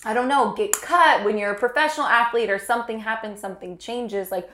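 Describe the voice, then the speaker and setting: A woman's voice: on the high side, a little fast, sharp tone. Woman, congested, playful chatty co-host, roomy reverb. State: stuffy from allergies. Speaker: podcast co-host. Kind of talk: casual chat.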